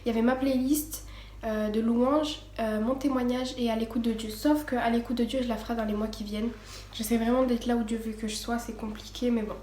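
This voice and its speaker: A woman's voice: on the high side, steady tone, fairly quick, mellow. A teenage girl giving a casual personal testimony, partly read from notes, hesitant with many fillers and restarts. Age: teens. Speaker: vlogger. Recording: echoey room, faint background noise.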